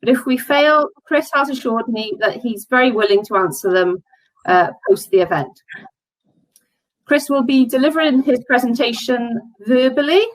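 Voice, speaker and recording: A woman hosting a virtual conference, introducing a guest speaker in a measured, formal manner. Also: on the high side, expressive, no echo, poor audio. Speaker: conference host.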